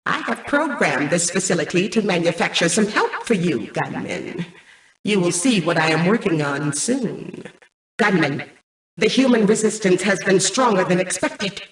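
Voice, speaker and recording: Husky female computer intelligence, arrogant, calculating, formal, measured commands, video game. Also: lowish voice, lively intonation, poor audio. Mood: calm, imperious. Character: cold, arrogant female mainframe artificial intelligence, calculating and imperious. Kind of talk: scripted video game voice acting.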